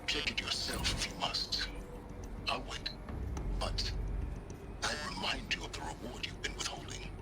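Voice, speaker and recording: An actor playing a shrewd, coolly ambitious male scholar in a video game, speaking in a measured, calculating, sardonic way. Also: lively intonation, lightly acted. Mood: dry, sardonic. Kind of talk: scripted video game acting. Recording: loud music and rumble behind.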